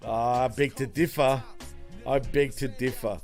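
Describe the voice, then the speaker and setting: A man's voice: mid-range voice, moderate pace, soft background music. A man from Australia with an Australian accent, co-hosting a casual late-night wrestling podcast, laid-back and laughing often, swearing freely. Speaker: podcast co-host.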